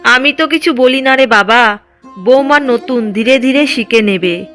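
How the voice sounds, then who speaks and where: A woman's voice: on the high side, unhurried, a bit bright, soft background music. A woman playing a sly, jealous older village mother-in-law in a cartoon drama. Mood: feigned grievance. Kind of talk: Bengali cartoon drama dialogue.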